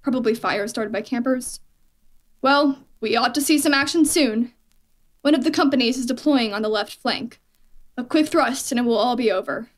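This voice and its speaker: A woman's voice: a little high, normal speed, close to an everyday voice. A woman playing a confident army captain giving an assured field report in a radio play. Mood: breezy, confident. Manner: assured, dismissive of danger.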